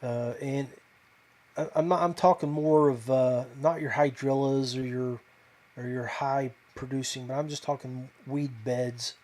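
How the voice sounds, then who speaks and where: A man with a mid-pitched voice hosts a podcast in a casual, unscripted way. He thinks out loud, hesitating as he goes, and comes across as modest and self-deprecating. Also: steady tone, unhurried. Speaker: podcast host. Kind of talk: casual fishing talk to viewers.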